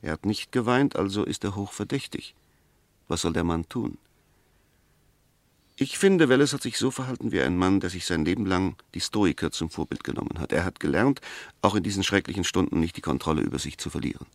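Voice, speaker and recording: An eloquent defence advocate in a 1960s German radio drama. His voice is on the low side and recorded very dry. He argues insistently, with precise diction, emphatic stresses and scornful rhetorical questions. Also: expressive, brisk, no echo.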